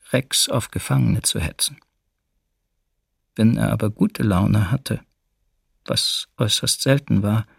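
Gravelly, measured male camp prisoner. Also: medium pitch, dry recording, studio quality, full character voice. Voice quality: gravelly, husky. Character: weary male camp prisoner recounting his forced labour. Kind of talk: scripted radio documentary drama reading.

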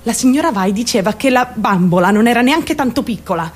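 A woman's voice: a little high, animated, a little fast. An actress playing a troubled, defiant teenage girl who confides her story straight to the listener in a theatrical radio monologue. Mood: resentful, confiding. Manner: confiding, addressing listeners directly, mimicking other voices.

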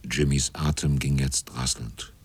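An actor narrating a radio drama as a grave seaman, with a husky, often hushed delivery. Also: rumbling low, steady tone, a little slow, exaggerated voice. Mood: hushed, tense.

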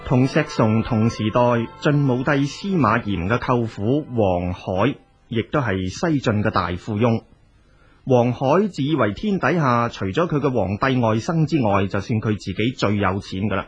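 A man's voice: medium pitch, lively intonation, normal speed, breathy edge, highs cut off, soft background music. A man with a Cantonese accent narrating a folk tale as a scripted audiobook storyteller.

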